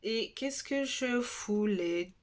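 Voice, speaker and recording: A woman with a French accent giving a relaxed tarot reading, talking in a chatty, hesitant way with many restarts and a slightly stuffy nose. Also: mid-range voice, slow. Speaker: tarot reader. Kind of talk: casual tarot reading video.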